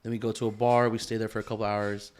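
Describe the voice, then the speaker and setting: A man's voice: fairly low pitch, flat delivery, fast talker, darkish tone. A man co-hosting a podcast in casual, unscripted banter, talking in a loose bro-ish way with slang and swearing. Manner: bro-ish, peppers talk with "dude" and "bro". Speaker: podcast co-host.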